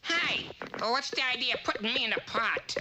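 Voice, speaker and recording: A woman's voice: fairly high pitch, expressive, normal speed, very noisy, close to an everyday voice. A woman voicing a naive, timid little tinker boy in a comic cartoon. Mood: innocent. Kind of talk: comic cartoon character acting.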